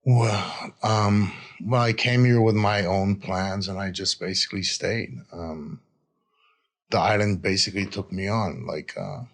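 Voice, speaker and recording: Male interview guest from Israel, very low pitch. Addiction coach telling personal stories in a halting, reflective way. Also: fairly even intonation. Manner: halting and reflective, often adds "you know". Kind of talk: candid personal storytelling in an interview.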